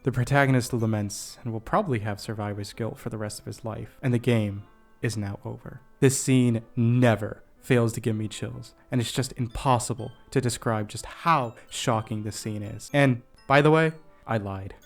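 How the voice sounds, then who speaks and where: A man's voice: medium pitch, normal speed. A man reading a scripted video essay about games, telling the story with dry, self-deprecating asides. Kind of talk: scripted narration of a game review. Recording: music behind the voice. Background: background music.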